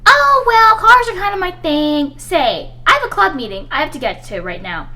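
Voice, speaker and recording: Actress as an eager, high-pitched female student. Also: normal speed, exaggerated voice. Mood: excited, friendly. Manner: excitable, chatty, earnest. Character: eager, friendly female student, keen car enthusiast. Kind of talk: radio drama dialogue.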